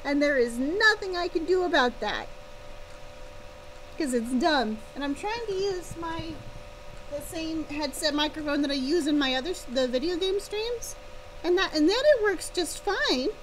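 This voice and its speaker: Woman, high-pitched, casual stream chat, self-deprecating, wry, light buzzing behind the voice. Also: a little hiss or hum, rough recording. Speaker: live streamer, author. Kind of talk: casual chat with livestream viewers. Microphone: laptop's built-in or connected microphone. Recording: buzzing laptop mic near fan. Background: fan buzz.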